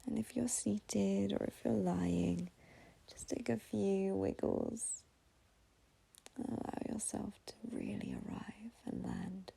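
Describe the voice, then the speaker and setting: A woman guides a meditation slowly and gently, leaving long pauses between short phrases. Her voice is very breathy and often slips into a whisper, with heavy creak at the edges. The delivery is soft, mellow and soothing. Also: medium pitch, fairly even intonation, rough recording. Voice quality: breathy, husky, half-whispered.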